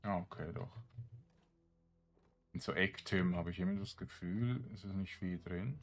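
A man giving casual gameplay commentary, thinking aloud in short, halting phrases. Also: low-pitched. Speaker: let's play streamer.

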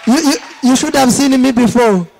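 A man's voice: extremely high pitch, gravelly, noisy background. A middle-aged man with a Ugandan accent hosting a talk show into a handheld mic, teasing his guest and laughing often between stammered restarts. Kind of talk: joking talk show chat. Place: TV studio set with low lights.

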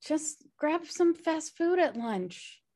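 A woman's voice: high-pitched, sing-song, takes it slowly, darkish tone, full character voice. An American woman playing a lazy, flippant slacker sister in a comedy table read, with a casual, dismissive way of talking. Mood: grumpy, dismissive. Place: indoor room, video call.

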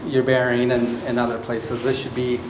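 A man in his thirties giving a relaxed, plainspoken walkthrough of a boat refit, with plenty of ums and uhs. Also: medium pitch, normal speed. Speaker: boat owner showing a refit. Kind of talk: casual walkthrough video. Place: inside a fiberglass boat hold and on deck. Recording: echoey hull with faint background noise.

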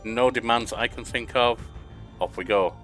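Older male let's-player, bright tone, faint music under the voice; casual commentary from a desk at home. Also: mid-range voice, normal speed. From England. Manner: reads interview questions aloud and answers them himself. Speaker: gaming YouTuber.

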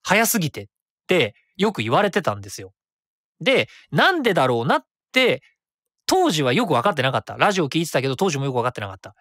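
A man around forty, hosting his own web radio show in a chatty, rapid way that stays easy to follow. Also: on the high side, big pitch swings, fairly bright tone, no room sound, studio quality. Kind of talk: casual solo radio talk.

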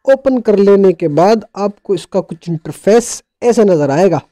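A man's voice: high voice, animated, unhurried, mellow, no room sound, clean studio sound. A man narrating a casual how-to tech tutorial in a playful, jokey, colloquial way.

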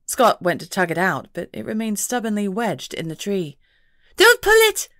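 A woman's voice: medium pitch, moderate pace, no room sound, clean studio sound. A woman narrating a first-person fantasy audiobook from a script.

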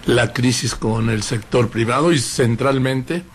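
A male radio host, talking in a measured way and listing dates and past events. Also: mid-range voice, vocal fry, a little hiss or hum. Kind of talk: radio interview conversation.

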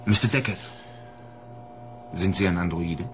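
A man's voice: sits low, measured pace, over music. An actor playing a dutiful plainclothes policeman in a German radio drama, polite, cool and pointed. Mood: suspicious. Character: dutiful, coolly suspicious male plainclothes policeman.

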